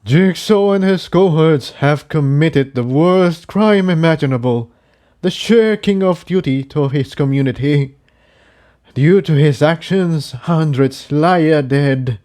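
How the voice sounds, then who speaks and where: A man's voice: fairly high pitch, mellow, voice pushed up. Man playing a stern, declaiming pony leader. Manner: declamatory, formal, authoritative. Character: stern, solemn male pony leader passing judgment.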